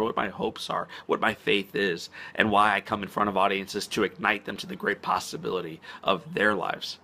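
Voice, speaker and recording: A man speaking as a motivational speaker in an inspirational vlog. He talks earnestly and slows down to stress key words. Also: on the low side, pushed and bright. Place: outdoors on a spring morning.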